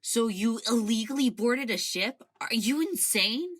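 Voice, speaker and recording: A woman's voice: a little high, lively intonation, unhurried, fairly bright tone. A woman playing an uneasy young officer. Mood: accusing. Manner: anxious, blunt. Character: young female security officer, nervous then sharp. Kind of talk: amateur audio drama.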